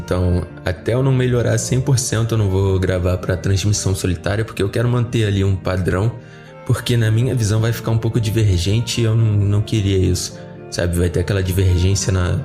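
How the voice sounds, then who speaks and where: A Brazilian man with a slightly off, flu-affected voice, chatting informally and in a rambling way to his listeners as a podcast host. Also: on the low side. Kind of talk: casual chat to listeners.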